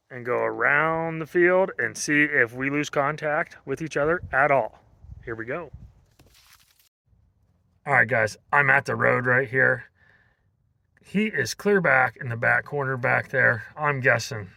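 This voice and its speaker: A man's voice: mid-range voice, a little slow, vocal fry, pauses often, pushed and bright, dry recording. A man giving a casual, informal product demonstration to viewers in an outdoor review vlog. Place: outdoors, partly riding in a vehicle.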